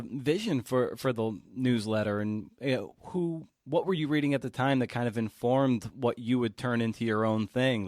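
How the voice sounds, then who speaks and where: A man hosts a casual podcast interview. He speaks at an average pitch with an enthusiastic, meandering way of putting questions, recorded close and dry with no room sound. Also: no room sound.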